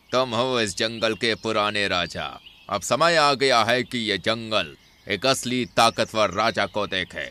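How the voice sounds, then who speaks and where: Man as a bright, forceful, boastful cartoon bull. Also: lowish voice, expressive, slow, heavily acted. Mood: domineering, boastful. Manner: boastful, taunting, challenging. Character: arrogant, boastful, strong village bull.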